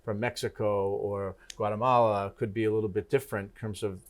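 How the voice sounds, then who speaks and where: Halting, low-voiced male talk host. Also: flat delivery. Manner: halting, many restarts and fillers. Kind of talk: casual interview conversation.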